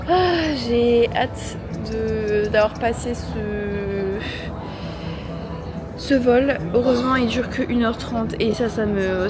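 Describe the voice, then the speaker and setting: A young woman travel vlogger chatting casually to camera in an airport, joking and slangy, tired from the trip, with lots of fillers. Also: medium pitch. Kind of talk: casual vlog chat to camera. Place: airport, noisy public areas. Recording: noisy ambience behind.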